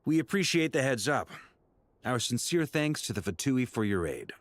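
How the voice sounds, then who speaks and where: A man's voice: medium pitch, big pitch swings, moderate pace, slight background noise, close to an everyday voice. A man playing a wry, easygoing knight. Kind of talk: video game voice acting.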